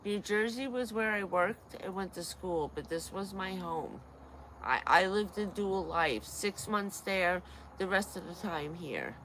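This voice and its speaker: A woman's voice: on the low side, expressive, unhurried, fairly bright tone, a little hiss or hum, poor audio. Blunt woman in her fifties. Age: 50s. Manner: blunt, emphatic, swears freely. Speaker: guest. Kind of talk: casual chat.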